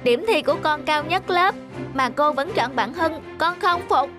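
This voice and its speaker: A woman's voice: high voice, moderate pace, natural voice. A woman voicing a cocky, stubborn little schoolboy in a cartoon, sulky and boastful. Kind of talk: cartoon voice acting. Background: music.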